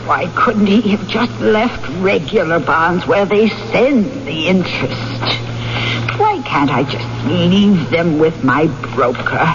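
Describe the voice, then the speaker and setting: An actress playing a frail, trusting old widow in a 1970s radio drama, halting and chatty. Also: female voice, fairly low pitch. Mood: fretful, needy. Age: older. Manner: halting, fretful, sweetly grateful. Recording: muffled, noisy old radio recording.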